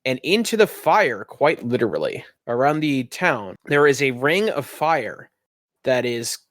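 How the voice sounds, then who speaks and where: A man runs a tabletop roleplaying game as host, describing scenes with wry asides. His voice is recorded close and dry, at a middling pitch, over faint music. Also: no room sound. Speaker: game master and podcast host.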